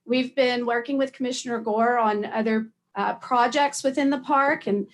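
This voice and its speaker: A woman with a fairly high voice addresses an official meeting as a community representative. She explains her request in a semi-formal way, pausing often as she goes. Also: fairly even intonation. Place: county meeting room.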